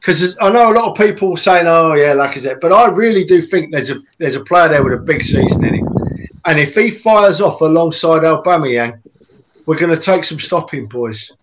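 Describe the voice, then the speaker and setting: A man in an excited podcast chat about football. He talks a little higher than most men and leans hard on his points, often saying them twice. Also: normal speed, a little hiss or hum, poor audio. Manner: animated, emphatic, repeats himself for effect. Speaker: podcast co-host.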